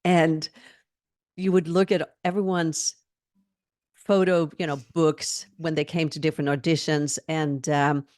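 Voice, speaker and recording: An older woman in her sixties speaks in a lower voice over a video call, close and dry with no room around her. She answers in an earnest, reflective way and laughs easily between thoughts. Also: flat delivery, measured pace, a little mellow, no room sound. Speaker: fitness trainer and guest. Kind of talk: conversational interview answers.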